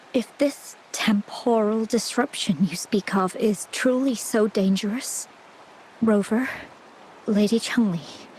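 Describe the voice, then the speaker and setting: An actress playing a frail, gentle sick young woman in a video game, speaking softly and haltingly with sighs, resigned and self-sacrificing. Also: mid-range voice, slight background noise, close to an everyday voice, rough recording. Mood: worried, pleading.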